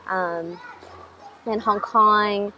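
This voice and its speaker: A woman's voice: mid-range voice, steady tone, very slow, pushed and bright, muffled recording, a little hiss or hum. A young woman, a coach, talking casually to camera in a chatty way with many ums, restarts and laughs. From Taiwanese-Singaporean family, raised across Germany, Asia and California. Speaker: coach and summit speaker.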